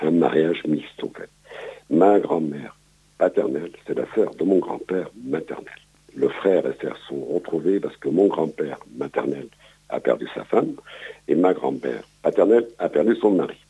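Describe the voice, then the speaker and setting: Very deep gravelly older man. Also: dark tone. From Palestine. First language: Arabic. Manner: hesitant, many fillers and repeated words. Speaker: guest. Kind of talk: radio interview, life story. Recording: dull radio broadcast sound.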